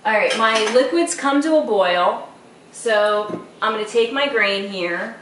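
A woman's voice: on the high side, unhurried, a bit bright. A middle-aged woman walks through a recipe in her own kitchen, talking in a chatty, instructive way with easy asides. Her voice comes from a distance off the camera mic and rings in the room, over a faint household hum. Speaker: cooking show host. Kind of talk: casual cooking demonstration. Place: home kitchen, indoors. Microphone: camera mic from across the room. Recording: roomy, distant camera audio with light background noise.

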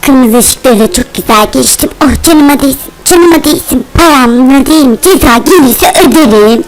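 Bossy, boastful cartoon spider mum, extremely high voice, hot-tempered and repetitive played by a woman. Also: normal speed, natural voice, rough recording. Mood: defiant, smug. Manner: boastful, scolding, repeats phrases. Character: bossy, hot-tempered spider mother, reckless proud driver. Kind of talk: improvised children's cartoon-style role play.

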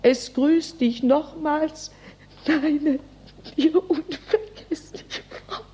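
A plain soldier's wife voices a letter in a radio play. The voice is high and mellow, and the words come very slowly and simply. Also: female voice, fairly even intonation, highs cut off. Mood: matter-of-fact.

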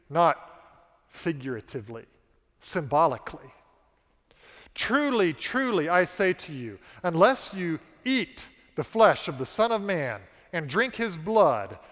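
Pastor, man in his 40s, slow emphatic sermon delivery, reverberant sanctuary. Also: fairly high pitch, fairly bright tone. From American South. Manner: slow, emphatic preaching with dramatic pauses. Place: large church stage. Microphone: headset mic. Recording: long reverb of a church hall.

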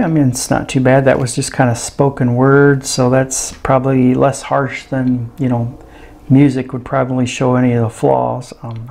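A man's voice: medium pitch, creaky, dark tone. A middle-aged man giving a casual, easygoing hands-on gadget review at a desk indoors.

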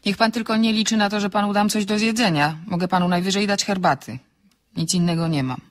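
An actress playing a witty, outspoken single woman in an audio drama, bantering briskly with polite irony. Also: medium pitch, steady tone, close to an everyday voice. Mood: dry, teasing. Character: witty, outspoken single woman writer, teasing and self-ironic. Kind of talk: Polish audio drama dialogue.

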